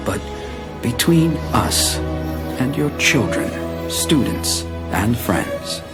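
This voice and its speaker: An actor playing an aged, wistful but resolute adventurer near death in a solemn, poetic video game monologue. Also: male voice, medium pitch, sing-song. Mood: resolute, hopeful. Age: older. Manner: solemn, measured, poetic delivery. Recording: loud music underneath. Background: music.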